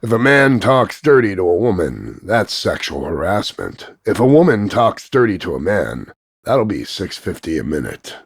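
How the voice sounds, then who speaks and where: A man voicing a cocky, crude macho action hero, gravelly and husky, delivering smug deadpan one-liners in a slow drawl of punchlines. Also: sits low, no echo, clean studio sound, exaggerated voice. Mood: deadpan, mocking. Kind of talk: voice-over demo of insults and one-liners.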